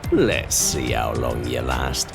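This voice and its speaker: A man voicing a gruff, brutish male pig-man who runs an arena, talking in coarse, mocking slang. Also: very deep voice, sing-song, slow pace, heavily acted. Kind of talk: audio drama roleplay. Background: background music.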